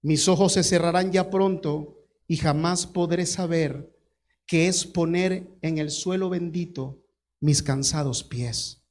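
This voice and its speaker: A man's voice: on the high side, fairly even intonation, slow, a little mellow. A man voicing a desperate foreign man who pleads and laments at a palace gate, in a sermon story. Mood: mournful farewell.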